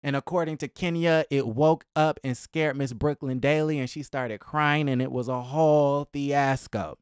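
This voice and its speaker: A young man talks as a casual gossip commentator with a smooth, clear voice at a medium pitch. His delivery is sassy and emphatic, full of conversational slang. He sits close to a podcast mic, with a dry, tight room sound. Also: fairly even intonation, normal speed, dry recording. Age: young adult. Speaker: host of a celebrity gossip commentary channel. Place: small indoor room at home. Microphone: close boom-arm podcast microphone.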